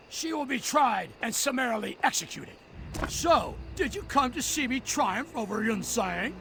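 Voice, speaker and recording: A stern commanding official. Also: male voice, very high voice, sharp tone, slight background noise, heavily acted. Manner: commanding, declamatory.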